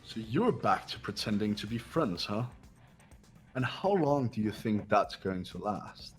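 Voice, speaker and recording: Man voicing arrogant young elite heir, low, animated delivery, formal, condescending, game character. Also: unhurried, soft background music. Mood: haughty, cold. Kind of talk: amateur voiced video game playthrough.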